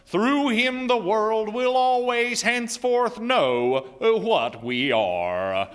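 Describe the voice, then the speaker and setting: An actor playing a solemn, pompous small-town reverend addressing a meeting in oratorical style in a live radio drama. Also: male voice, high voice, lively intonation, fairly bright tone, clean tone, full character voice. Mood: grand exhorting. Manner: oratorical, pompous, drawn-out delivery.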